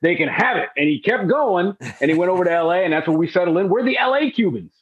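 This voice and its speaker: A man's voice: high-pitched, expressive, fast, husky, no echo, lo-fi sound. A man from Los Angeles, a sports radio host, chatting as a guest in an upbeat, talkative way and repeating words as he tells a story. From Los Angeles, United States. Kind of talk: casual interview chat.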